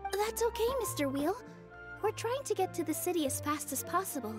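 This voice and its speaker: A woman voicing a gentle, gracious young dancer in a video game, polite and soothing. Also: very high-pitched, lively intonation, a little fast, music in the background. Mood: reassuring. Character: gentle, gracious young female dancer playing forest fairy. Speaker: game character voice. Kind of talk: animated game voice acting.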